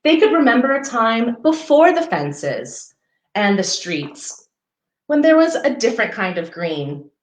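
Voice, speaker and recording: Slow, lilting woman reading a children's story, echoey. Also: on the high side. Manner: expressive, pausing to point out pictures to young listeners. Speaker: parks foundation outreach coordinator hosting story time. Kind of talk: read-aloud children's story time. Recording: roomy, echoey livestream sound.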